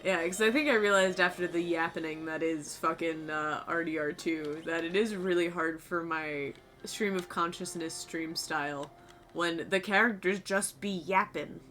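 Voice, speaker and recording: A young woman streamer with a low, fried voice, chatting casually with her viewers in a rambling, loose way, her voice a little tired and sore. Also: steady tone, moderate pace, a bit bright. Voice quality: low voice with vocal fry. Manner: rambling, talks to chat, swears casually. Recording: faint music behind.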